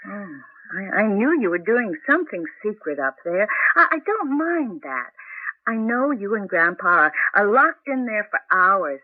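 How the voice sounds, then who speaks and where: An actress playing a loving, worried wife who feels shut out, in an earnest 1980s radio drama style with halting repeats. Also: female voice, medium pitch, big pitch swings, no room sound. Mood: hurt, reproachful. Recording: dull vintage radio broadcast.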